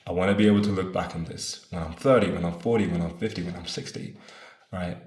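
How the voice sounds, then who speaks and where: Man in his twenties with a deep voice, earnest and rambling, talking to camera in a roomy space. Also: steady tone, fast talker, darkish tone. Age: 20s. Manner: earnest, rambling, often adds "right?"; casual swearing. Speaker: vlogger. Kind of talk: casual talk to camera. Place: room in Bogota.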